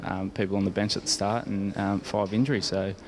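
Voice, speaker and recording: A man's voice: on the low side, level tone, fairly quick, background noise. A young man with an Australian accent giving casual, hesitant, rambling answers as an interviewed athlete. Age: young adult. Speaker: Australian rules footballer being interviewed.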